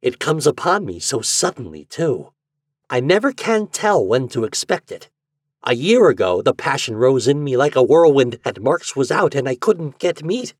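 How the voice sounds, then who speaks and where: A man voicing a sinister, cunning gentleman from an old horror story, eerily calm yet intense in manner. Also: medium pitch, normal speed, breathy edge, no echo, studio quality. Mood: feverish hunger. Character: sinister, cunning male host obsessed with raw meat.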